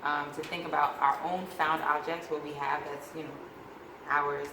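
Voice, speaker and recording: Low, flat-voiced woman panelist. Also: normal speed. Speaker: panel speaker. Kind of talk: speaking at a panel. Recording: echoey room with noise.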